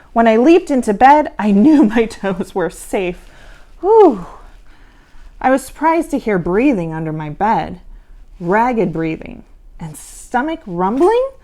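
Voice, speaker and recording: A woman's voice: medium pitch, a little mellow. A woman reading a children's storybook aloud as a storyteller, theatrical and suspenseful. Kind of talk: dramatic children's storybook narration.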